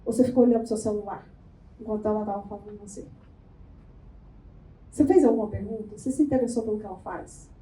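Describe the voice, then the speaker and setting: A Brazilian woman giving a lively networking workshop, talking playfully and firing quick questions at the room. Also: mid-range voice, a little hiss or hum. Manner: animated, playful, asks the room rapid questions. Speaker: workshop speaker. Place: event room before a live audience. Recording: echoey room.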